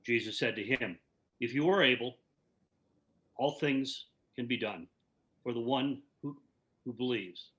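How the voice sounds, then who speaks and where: Bright, forceful man reading scripture. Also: mid-range voice, measured pace, long pauses, rough recording. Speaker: scripture reader. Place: at home, on a video call. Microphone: video call.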